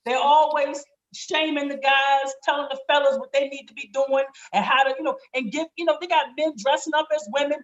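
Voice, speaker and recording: Raspy, high-pitched, outspoken woman. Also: dull audio. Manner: outspoken, emphatic, slangy with swearing. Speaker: podcast guest. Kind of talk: casual, candid podcast conversation.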